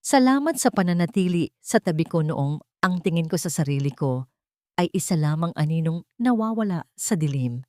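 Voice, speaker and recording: A woman voicing a grateful heroine of a romance story, speaking softly and earnestly. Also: deep voice, lively intonation, moderate pace, dry recording, clean studio sound. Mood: tender gratitude. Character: grateful woman, healed after heartbreak.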